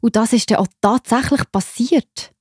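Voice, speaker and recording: High-pitched Swiss woman telling children's stories. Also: normal speed, husky, no echo, clean studio sound. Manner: expressive, enthusiastic, simple child-directed phrasing. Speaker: narrator. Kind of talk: children's Bible storytelling. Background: music behind the voice.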